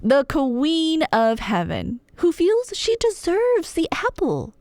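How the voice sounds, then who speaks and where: A woman's voice: sits high, wildly swooping pitch, slow pace, a little mellow, no room sound, studio quality. A woman telling a scripted story in a casual, chatty way, with playful, wry asides as an ASMR roleplay storyteller. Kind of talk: casual, scripted myth retelling.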